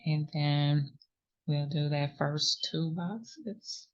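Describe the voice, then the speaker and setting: Crochet teacher, woman, deep and slow, counts stitches aloud, slightly sniffly. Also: muffled recording. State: sniffly runny nose. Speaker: crochet tutorial host. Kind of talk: step-by-step crafting instruction.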